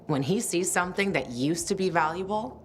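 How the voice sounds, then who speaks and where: A low-pitched female schemer from a video game, played by an actress, cool and wry. She talks casually, with a teasing, sardonic edge, over faint ambient noise. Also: animated, brisk, close to an everyday voice. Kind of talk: video game dialogue acting.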